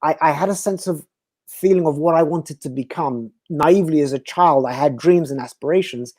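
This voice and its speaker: A man from Belgium, a guest speaker talking earnestly and philosophically in slow, emphatic phrases. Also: on the high side, a little mellow, no room sound, studio quality. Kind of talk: reflective conversational talk.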